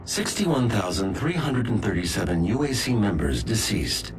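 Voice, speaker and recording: A calm, precise machine mind in a video game. The voice is low and synthetic, formal and clinical but with lively inflection, set over clear background music. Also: male voice, moderate pace, poor audio. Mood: clinical reporting. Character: calm, precise male artificial intelligence running a facility. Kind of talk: scripted video game acting, status reports.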